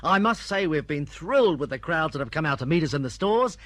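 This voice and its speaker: A self-satisfied, genial literary celebrity from a radio play. The voice is fairly high for a man, lively and swooping, recorded dry and close. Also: brisk, dry recording. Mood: pleased, smug. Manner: suave, self-satisfied, politely effusive. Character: smug, genial male impostor posing as celebrated author. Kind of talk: British radio drama acting.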